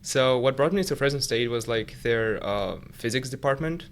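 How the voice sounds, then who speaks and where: A man's voice: medium pitch, normal speed, slight background noise. Young man. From Uzbekistan. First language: Russian. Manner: relaxed, leans on "like" as filler. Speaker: podcast guest, student tutor. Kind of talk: casual interview chat.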